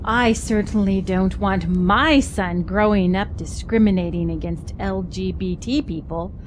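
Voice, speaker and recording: A woman's voice: medium pitch, unhurried, slight background noise, natural voice, rough recording. A woman acting a proper, image-conscious mother in an audio drama, prim and emphatic. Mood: self-satisfied approval.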